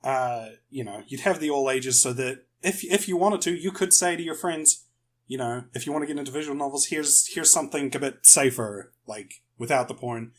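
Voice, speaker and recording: A man's voice: medium pitch, moderate pace. A man speaking as a podcast guest, explaining his views casually and rephrasing as he goes.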